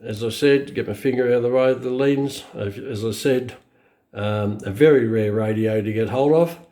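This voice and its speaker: An Australian man giving an unscripted hands-on product demonstration, explaining things in a halting, matter-of-fact way into a camera mic. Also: mid-range voice, mellow. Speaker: radio collector presenting a review.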